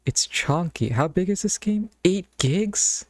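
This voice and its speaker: A man's voice: sits high, animated, slow pace, no echo, soft background music. A man streaming live, chatting casually and thinking aloud in a rambling way into a close mic. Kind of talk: casual live-stream chat while browsing games.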